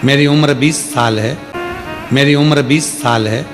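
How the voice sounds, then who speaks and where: Male preacher, mid pitch, emphatic devotional delivery, faint music underneath. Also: a little slow. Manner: emphatic preaching with rising exclamations. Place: open-air religious gathering.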